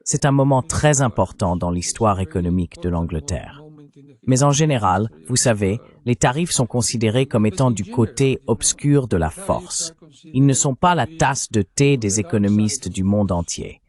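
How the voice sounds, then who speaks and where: A man's voice: fairly low pitch, animated, normal speed, a little mellow. A man delivering a translated voice-over of an interview, explaining things as a commentator.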